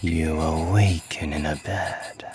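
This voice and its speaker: A man narrating an ASMR roleplay in the second person, in a hushed, breathy, deliberate manner. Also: rumbling low, steady tone.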